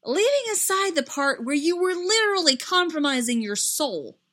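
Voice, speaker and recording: A woman's voice: medium pitch, sing-song, moderate pace, lightly acted. A woman voicing a hot-headed young man, an emphatic and loyal friend in a dramatic story reading, given to outbursts and broken repetition. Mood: loud, exasperated.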